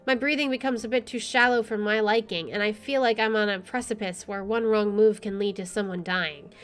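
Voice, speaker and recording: A woman's voice: medium pitch, steady tone, fairly quick, smooth. A woman streamer reading a visual novel aloud and adding casual commentary. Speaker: let's play streamer. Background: faint game music.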